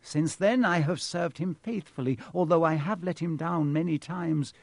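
Cold sharp villain professor, acted by a male narrator, raised pitch, smug, mocking, menacing storybook delivery, dry close sound. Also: normal speed, creaky, no echo. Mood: fervent, submissive.

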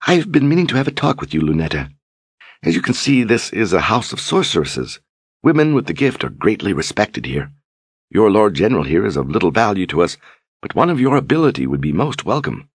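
A haughty older sorceress drips contempt with each phrase, as a man puts her on. The voice sits well above his own and carries a husky edge. It is a dry, close storybook reading. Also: lowish voice, very animated, brisk, dry recording, pitched above the performer's own voice, full character voice. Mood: coaxing. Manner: mocking, condescending. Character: haughty older female sorceress, sharp-tongued.

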